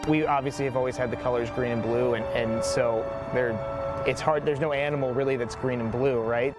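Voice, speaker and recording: A man's voice: medium pitch, fairly even intonation, fairly quick, low-quality recording. American man interviewed, prominent music behind. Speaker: team representative being interviewed. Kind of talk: casual interview talk.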